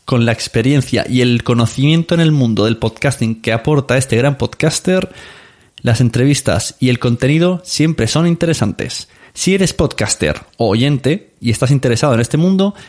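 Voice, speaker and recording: A man's voice: medium pitch, darkish tone. A man hosting a podcast in a casual, playful, effusive way, chatting to listeners. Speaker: podcast host. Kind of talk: casual podcast talk, reading reviews.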